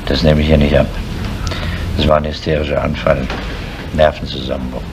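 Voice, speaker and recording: An actor playing a gruff, matter-of-fact police inspector in a crime radio drama, speaking in curt, clipped phrases. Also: male voice, extremely low pitch, little pitch movement, a little fast, music in the background. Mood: dismissive, firm. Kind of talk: naturalistic German radio crime drama acting. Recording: noisy 1970s radio play tape.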